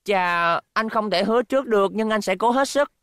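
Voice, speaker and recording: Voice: mid-range voice, fairly quick, a bit bright, smooth, no echo, clean studio sound, lightly acted. An actor playing a brave, earnest young robot boy in a Vietnamese cartoon dub.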